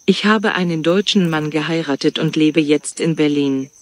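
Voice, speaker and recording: A man reading his lines in a scripted practice dialogue in a plain, steady way. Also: a little high, moderate pace.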